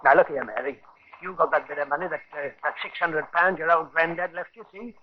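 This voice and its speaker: An actor playing a scheming, wheedling Victorian barber and publican in a radio drama. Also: male voice, on the high side, fairly quick, husky. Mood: cajoling. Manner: colloquial, cajoling, brash. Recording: muffled 1950s radio recording.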